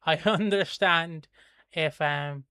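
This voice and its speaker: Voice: medium pitch, drawn-out words, bright, forceful tone, clear, resonant tone, dry recording, studio quality. An Irish host from Kildare chatting casually on a livestream, rambling, swearing and laughing while reading viewer comments. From Ireland. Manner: plugs merch in a breezy, offhand way.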